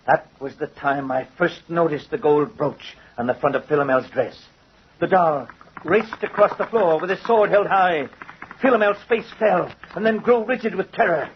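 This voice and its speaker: A man's voice: fairly high pitch, slight background noise, close to an everyday voice. An actor playing a guilt-ridden craftsman confessing to a judge, earnest and dramatic, in old-time radio style. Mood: agitated, horrified. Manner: dramatic, earnest, deliberate phrasing. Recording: muffled old radio recording.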